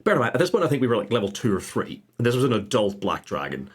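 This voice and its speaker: A man's voice: mid-range voice, big pitch swings, racing, breathy edge. A man chatting informally as a podcast guest, telling gaming anecdotes and jokily acting out mock quotes. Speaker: podcast guest, game master. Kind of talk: casual chat, animated anecdotes with mimicked quotes.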